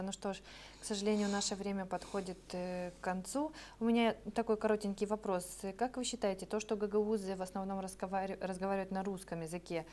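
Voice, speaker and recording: A woman's voice: medium pitch, darkish tone. A woman working as a TV studio host, putting questions to guests in broadcast style. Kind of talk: broadcast interviewing.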